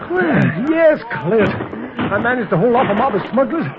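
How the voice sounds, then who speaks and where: A brave, good-humored secret agent in a 1930s radio adventure. His voice is high and swings widely, on a muffled old recording. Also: very animated, moderate pace, heavy background noise, full character voice. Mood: indignant, teasing.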